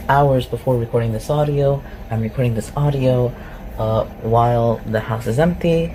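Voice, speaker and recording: An ASMR voice performer talks off the cuff to listeners in a casual, self-deprecating way. The delivery is halting and mid-pitched. It is recorded at home with clear background noise. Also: warm tone, low-quality recording. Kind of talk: casual, unscripted outro chat to listeners. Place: at home in an empty house.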